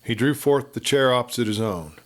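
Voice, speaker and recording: Expressive male western storyteller. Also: medium pitch, brisk. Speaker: audiobook reader. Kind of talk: scripted storytelling narration of a western novel.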